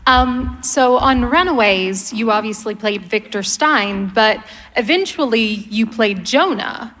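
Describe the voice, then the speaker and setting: Slow, higher-voiced woman in an echoey hall. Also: fairly bright tone. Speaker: audience questioner. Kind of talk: casual question at a live panel. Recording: echoey hall with long reverb.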